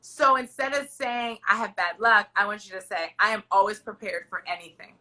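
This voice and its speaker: Bright, raspy woman hosting a podcast. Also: medium pitch, normal speed, poor audio. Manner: loud, emphatic and upbeat. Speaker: podcast host. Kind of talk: encouraging casual interview chat.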